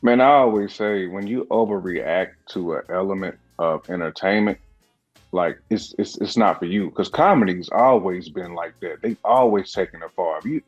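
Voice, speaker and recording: A man's voice: low-pitched, normal speed, husky, muffled recording, soft background music. A man co-hosting a casual podcast, talking emphatically in slang and laughing between his points. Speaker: podcast co-host.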